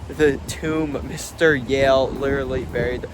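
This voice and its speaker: A man's voice: mid-range voice, fairly even intonation, moderate pace. A young man chatting casually as a travel vlogger, thinking aloud with fillers as he walks through a city. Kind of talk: casual walking travel vlog. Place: outdoors on city streets. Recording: street noise behind voice.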